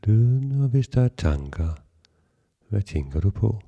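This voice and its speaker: A man guiding a relaxation meditation in a calm, measured, instructional way with long pauses. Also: fairly low pitch, muffled recording. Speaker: yoga nidra guide.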